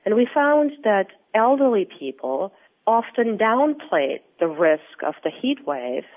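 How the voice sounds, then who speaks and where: A woman from Austria with an Austrian accent, a researcher answering interview questions in an earnest, explanatory, measured way. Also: mid-range voice, big pitch swings. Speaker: researcher and guest. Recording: tinny phone-line audio.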